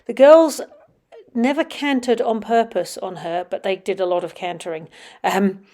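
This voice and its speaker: A middle-aged woman with a slightly sniffly, runny nose, casually commenting over videos of her children riding, with frequent ums and some quiet murmured asides. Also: medium pitch, fairly even intonation, moderate pace. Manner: chatty, many ums, drops to quiet murmurs while watching. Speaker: vlogger.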